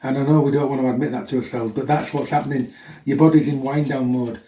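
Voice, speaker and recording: A man's voice: mid-range voice, fairly even intonation, fast, warm tone. A middle-aged man chatting casually and bluntly to viewers as a fitness vlogger, a little chesty from a recent infection and often tagging sentences with "yeah?". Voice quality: slightly chesty. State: getting over a chest infection. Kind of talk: casual chat to viewers introducing a workout. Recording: echoey room, mic at a distance.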